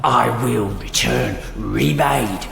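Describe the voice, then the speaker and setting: An actor playing a fawning, fanatical cultist henchman with a gravelly voice in an audio drama. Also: medium pitch, takes it slowly, a loud crowd. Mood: zealous. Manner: fawning, zealous. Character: fanatical, servile male cultist henchman, crude and gleeful. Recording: echoey hall reverb under music.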